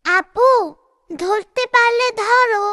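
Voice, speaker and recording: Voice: very high-pitched, slow, bright, forceful tone, full character voice. A performer voicing a little boy in a children's cartoon, playful and easily scared of a scolding.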